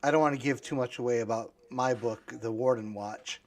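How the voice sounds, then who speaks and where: A man's voice: mid-range voice, brisk. A man, an author, talking in a casual discussion, hesitant and thinking aloud as he goes.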